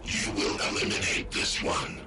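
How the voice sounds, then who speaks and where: An actor playing a cold, commanding ancient machine intelligence in a video game, speaking in terse, imperious pronouncements. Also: male voice, normal speed, lightly acted. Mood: menacing order. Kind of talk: video game villain acting. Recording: loud music under the voice.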